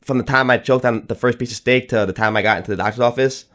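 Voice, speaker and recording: A man's voice: medium pitch, steady tone, fast talker, fairly bright tone. A man co-hosting a podcast, chatting and telling anecdotes in a blunt, slangy, profane way. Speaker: podcast host. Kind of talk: casual chat and anecdote.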